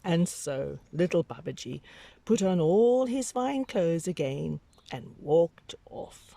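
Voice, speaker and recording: A woman reading a picture book aloud to young children, with dramatic, drawn-out emphasis and hushed whispery moments. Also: lowish voice, animated, vocal fry.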